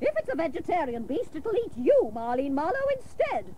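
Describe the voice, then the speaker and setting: An actress playing a bossy, exasperated aunt who scolds and insults theatrically in a comic radio drama. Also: female voice, sits high, sing-song, moderate pace, dull audio. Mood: scornful, exasperated. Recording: noisy old cassette of radio broadcast.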